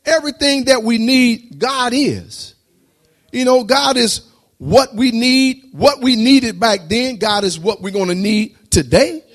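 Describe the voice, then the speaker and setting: A man preaching a sermon, reading scripture slowly before preaching with rising intensity. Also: animated. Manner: reads scripture slowly, then preaches rising in pitch and loudness.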